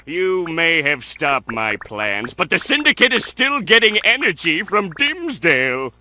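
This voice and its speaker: An actor playing a grandiose, menacing evil mastermind in a cartoon video game, with a harsh, gravelly voice and drawn-out declarations. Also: male voice, fairly high pitch, very animated, pushed and bright, dry recording, heavily acted. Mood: gloating. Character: gloating megalomaniac evil mastermind villain, theatrical and menacing.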